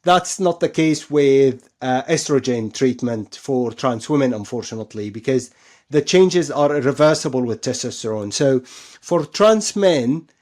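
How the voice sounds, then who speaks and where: A man's voice: mid-range voice, lively intonation, unhurried, a little mellow. A man speaking as an expert surgeon guest, explaining things in an interview, often repeating and rephrasing himself for emphasis.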